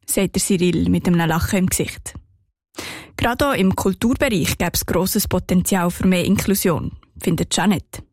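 A woman with a Swiss German accent narrating a scripted radio report in a calm, clear storytelling manner. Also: lowish voice, normal speed, dry recording, clean studio sound.

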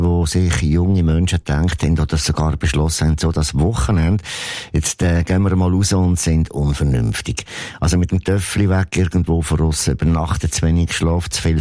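A man's voice: deep voice, a little mellow, no echo, clean studio sound. A man with a Swiss German accent hosting an evening radio call-in show in a casual style.

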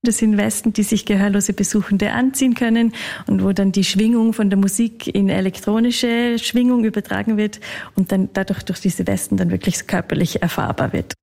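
A woman's voice: medium pitch, steady tone, fairly quick, vocal fry, warm tone. A woman with a Swiss German accent, a festival co-director, explaining things in long run-on sentences. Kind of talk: interview explanation.

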